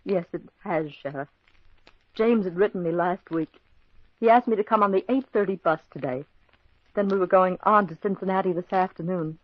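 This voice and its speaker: A woman's voice: fairly low pitch, moderate pace, no echo, lightly acted. An actress playing a grieving young woman in a 1940s radio drama, earnest and pleading. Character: grieving young woman, a murdered man's fiancée. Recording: muffled old radio broadcast.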